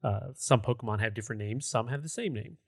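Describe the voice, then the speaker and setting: A man's voice: mid-range voice, quick pace, vocal fry, husky, dry recording. A man with a mid-range voice talks as co-host of a gaming podcast. His delivery is casual and conversational, wandering a little as he goes. The sound is dry, with hardly any room in it.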